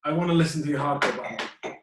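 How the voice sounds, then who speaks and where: Man in his thirties, playful teasing host, slightly high voice, echoey room. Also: steady tone, quick pace, noisy background. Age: 30s. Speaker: podcast co-host, tattooer. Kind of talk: casual podcast chat.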